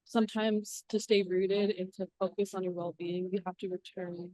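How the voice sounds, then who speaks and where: A woman's voice: lowish voice, level tone, normal speed, mellow, no room sound, rough recording. A young woman from Alaska speaking on a panel in an earnest, encouraging way, with many fillers. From southwest Alaska. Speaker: panelist, engineer. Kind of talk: casual panel talk.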